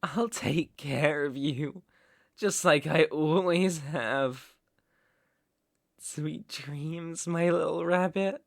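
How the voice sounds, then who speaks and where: Man acting a slow-spoken, menacing British mafia boss. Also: a little high, creaky, no room sound, clean studio sound, full character voice. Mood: soft, condescending. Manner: slow, deliberate, brooding, condescendingly affectionate. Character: possessive, unstable male mafia boss, menacingly tender ex-lover. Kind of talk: ASMR roleplay, dramatic monologue.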